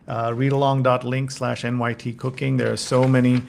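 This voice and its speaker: A man with a fry-laden voice hosts a casual live show. He presents with enthusiasm, in a halting, conversational flow. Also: mid-range voice, level tone, darkish tone, a little hiss or hum. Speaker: host.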